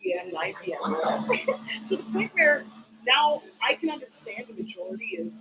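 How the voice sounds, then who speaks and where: Brief: upbeat woman podcast host from Chicago, high lively voice, laughs a lot, noisy hiss under the recording. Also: normal speed. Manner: laughs often, chatty and upbeat. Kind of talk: casual chat.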